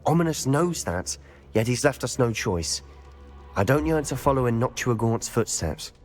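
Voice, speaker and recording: A male actor as a determined young wizard student in a video game. He is intense and decisive, mid-pitched, ringing in a long hall reverb with music underneath. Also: lively intonation, normal speed, natural voice. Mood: frustrated, resolute. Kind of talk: scripted game cutscene acting.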